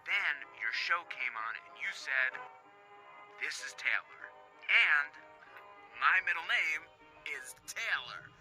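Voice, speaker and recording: An awkward, earnest radio caller with a very sharp, bright voice pitched on the high side. He talks in a halting, sheepish way in a game radio drama. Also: animated, faint music behind, full character voice, rough recording.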